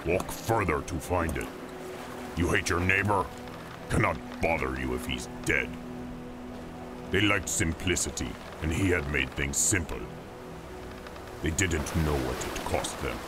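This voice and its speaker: Exiled orc prince: low, slow, gravelly, grim and blunt, fantasy audio drama. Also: male voice, animated, heavily acted. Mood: blunt, brooding. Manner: terse, grim, matter-of-fact. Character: blunt, brooding exiled orc prince who killed his father. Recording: music under the voice.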